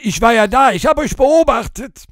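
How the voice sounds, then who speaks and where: A very high-pitched, crude podcast character. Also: male voice, lively intonation, normal speed, fairly bright tone, no room sound, studio quality, exaggerated voice. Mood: deadpan, cocky. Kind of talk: casual podcast banter.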